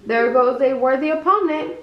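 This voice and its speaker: A woman's voice: high-pitched, normal speed, echoey room, lo-fi sound, natural voice. A woman voicing a villainous male pirate captain from a storybook, theatrical, commanding and taunting. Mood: gloating.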